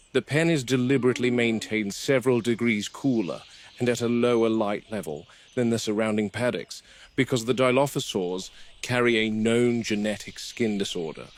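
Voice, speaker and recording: Male park warden, played by an actor for found-footage drama: mid-pitched, watchful, explains things plainly and methodically. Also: close to an everyday voice. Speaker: game warden narrating a video log. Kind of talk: found-footage documentary narration.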